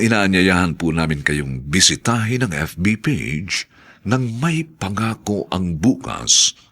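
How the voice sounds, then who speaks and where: Slow, gravelly, dramatic male announcer. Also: low voice, a bit bright. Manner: solemn, drawn-out theatrical phrasing. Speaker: radio drama narrator and announcer. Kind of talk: dramatic scripted radio narration.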